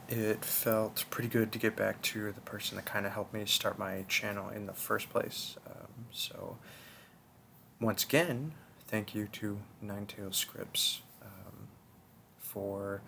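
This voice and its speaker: A soft-spoken man giving a casual, earnest outro to his listeners as an audio creator, with a hesitant delivery. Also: on the low side, creaky, a little hiss or hum.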